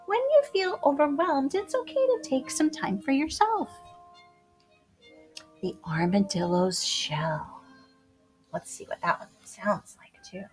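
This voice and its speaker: Very animated high-voiced woman reading a storybook. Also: poor audio. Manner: playful, expressive, drawn-out words and dramatic emphasis. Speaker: storyteller reading a children's picture book aloud. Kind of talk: animated rhyming storybook read-aloud for young children. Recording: music behind the voice. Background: music.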